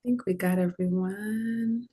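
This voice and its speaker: A woman from Ghana hosting a casual group video call, talking slowly and gently affirming the others. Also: mid-range voice, steady tone, breathy edge. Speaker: host.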